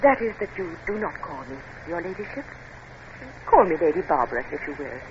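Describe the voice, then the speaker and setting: A woman's voice: lowish voice, big pitch swings, brisk, darkish tone. An actress playing a poised, spirited aristocratic English lady, gracious and refined, in a 1950s radio drama. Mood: teasing, apologetic. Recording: dull, noisy 1950s radio recording.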